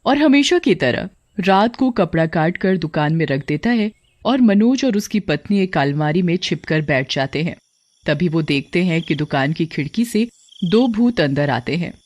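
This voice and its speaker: A woman's voice: fairly low pitch, lively intonation, normal speed, darkish tone, no room sound. A woman giving scripted narration for a cartoon story as the storyteller.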